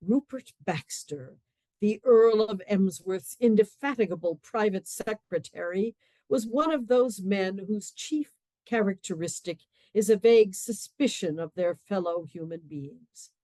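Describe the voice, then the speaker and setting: A woman narrating a radio play in a wry, expressive storytelling manner, dropping to hushed asides. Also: medium pitch, measured pace, no echo.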